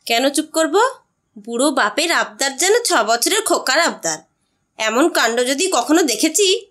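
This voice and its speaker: An actress playing a scornful, nagging wife in an audio story, scolding with sarcasm. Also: female voice, high-pitched, lively intonation, a little slow, fairly bright tone. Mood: sarcastic, scolding. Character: scornful, nagging wife mocking her husband's devotion. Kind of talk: Bengali audio story acting.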